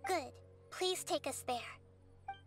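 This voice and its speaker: A woman voicing a small young female deity in a video game, earnest, thoughtful and gently commanding, speaking in measured, formal sentences. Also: sits high, faint music behind. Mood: calm, resolved. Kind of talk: scripted video game dialogue.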